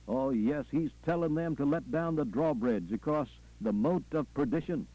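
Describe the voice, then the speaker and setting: A man's voice: a little high, normal speed, lo-fi sound, voice pushed up, close to an everyday voice. A man performing a fervent preacher delivering a rhythmic, folksy call-and-response funeral sermon full of repetition. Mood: exultant. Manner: folksy, rhythmic repetition with punctuating "aha" and "ah, well".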